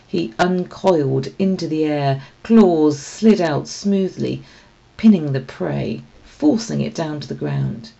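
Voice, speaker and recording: A woman reading a children's story aloud as expressive scripted narration. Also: low-pitched, takes it slowly, vocal fry, dull audio. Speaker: storybook reader.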